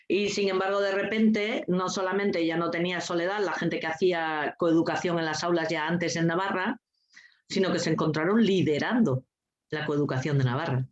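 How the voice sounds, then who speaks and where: A woman giving a lively, anecdotal talk as a guest speaker, blunt and sarcastic, swearing freely and laughing often. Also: on the low side, normal speed. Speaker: guest speaker, teacher trainer. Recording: dull lo-fi recording.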